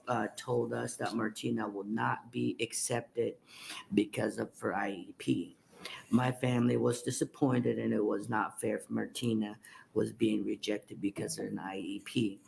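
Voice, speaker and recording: A middle-aged man from Guatemala whose first language is K'iche', reading testimony at a hearing with halting delivery and many fillers. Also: mid-range voice, vocal fry, slight background noise, poor audio.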